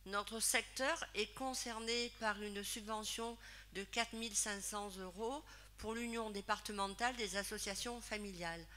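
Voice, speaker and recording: A woman's voice: medium pitch, steady tone, measured pace, sharp tone. A woman council member reading a formal report aloud, careful and formal. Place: municipal council chamber.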